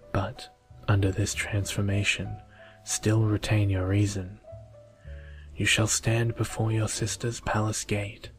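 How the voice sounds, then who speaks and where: A man voicing a stern, just lady fairy in a solemn, measured ASMR storybook reading. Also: on the low side, lightly acted.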